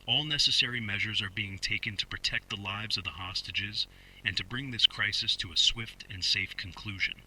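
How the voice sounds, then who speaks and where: A man playing a stern police spokesman reading a scripted emergency broadcast in a formal, measured, official manner with a husky voice. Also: on the low side, sharp tone, heavily acted, poor audio. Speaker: public emergency announcer.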